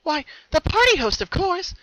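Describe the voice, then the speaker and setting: A man voicing a bubbly, giggly, excitable young female pony in a dramatic story reading. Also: very high-pitched, normal speed, no echo, pitched above the performer's own voice, full character voice. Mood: giddy excitement. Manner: excited, bouncy, gushing delivery.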